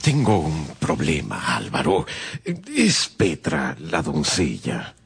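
An actor playing a worried older husband who confides anxiously in a friend, in a radio drama. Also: male voice, extremely low pitch, wildly swooping pitch, slow, vocal fry, soft background music, heavily acted. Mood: worried confiding.

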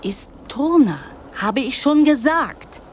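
High-voiced, brazen little girl. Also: lively intonation, slow pace, slight background noise. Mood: matter-of-fact, defiant. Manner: blunt, childlike short sentences. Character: precocious, brazen young girl, talking of her parents. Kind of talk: radio drama dialogue.

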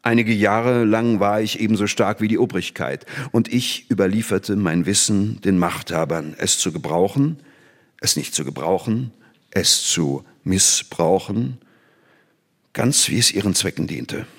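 An older man acting a disgraced, bitter old scientist in a radio play, lecturing in a deliberate, declamatory way. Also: fairly low pitch, in a large hall. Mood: bitter confession. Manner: declamatory, deliberate rhetorical phrasing.

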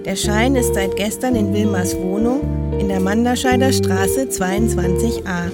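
A woman's voice: medium pitch, a little slow, loud music behind the voice. A woman announcer reading scripted, factual statements of time and place.

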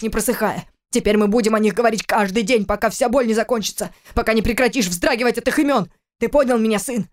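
Audiobook drama figure: a stern older father acted out by a woman, grieving and angry. The delivery is forceful and reproachful, pressing a grown son with pointed questions. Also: high-pitched, close to an everyday voice.

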